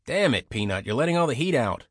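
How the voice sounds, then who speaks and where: A blunt military chief played by a male narrator with a short temper and an air of authority. His mid-pitched voice is dry and close, giving stern orders in an audiobook. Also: sing-song, fast talker, husky, no room sound. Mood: irritated. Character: commanding, hot-tempered male head of military enforcers.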